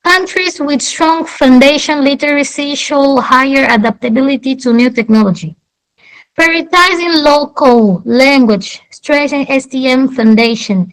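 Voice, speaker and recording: Slow-speaking young Angolan woman debater. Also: fairly high pitch, low-quality recording. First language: Portuguese. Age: young adult. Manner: earnest, reads out statistics point by point. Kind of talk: debate speech.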